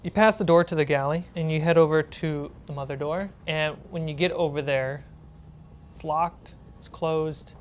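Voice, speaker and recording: A woman's voice: low-pitched, moderate pace, a little hiss or hum. A woman running a tabletop role-playing game as game master, narrating scenes and prompting her players.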